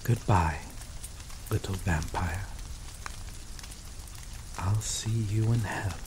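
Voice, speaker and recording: Man playing obsessive yandere lover, rain behind. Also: deep voice. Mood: obsessive, tender. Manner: slow, soft-spoken, dwelling on words with dark chuckles. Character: obsessive possessive male yandere lover, doomed and devoted. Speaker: ASMR roleplay voice actor. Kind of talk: ASMR roleplay. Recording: steady rain noise behind voice. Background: rain falling.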